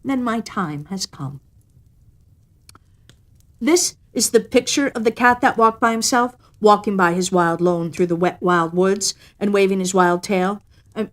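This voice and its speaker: A woman storyteller narrating a children's tale expressively, with a measured storytelling cadence. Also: mid-range voice.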